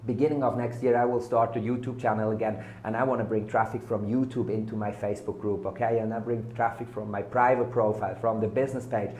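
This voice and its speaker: A man's voice: lowish voice, fairly even intonation, fast, few pauses, a little mellow, a little hiss or hum. A man with a German accent, a German native speaker, giving a hyped, salesy marketing vlog full of repeated buzzwords. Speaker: online marketing vlogger. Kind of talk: casual direct-to-camera sales talk. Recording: echoey room.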